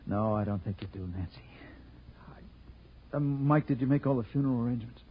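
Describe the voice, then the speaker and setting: A man's voice: mid-range voice, a little fast, slight background noise, natural voice. An actor playing a bitter, sarcastic young man in a 1940s radio crime drama. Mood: bitter, subdued. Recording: muffled old radio recording.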